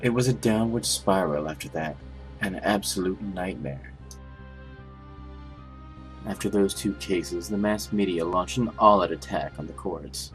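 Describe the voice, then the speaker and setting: A man voicing a grave, formal male chief prosecutor in a fan dub, speaking in a measured way. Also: low-pitched, soft background music.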